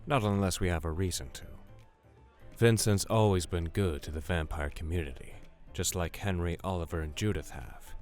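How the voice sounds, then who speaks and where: A very low-pitched male actor's vampire, a century old, wry and watchful. He talks in an easy, knowing way as an audio drama informant, with music behind him. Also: moderate pace, darkish tone, natural voice. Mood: wry, confiding.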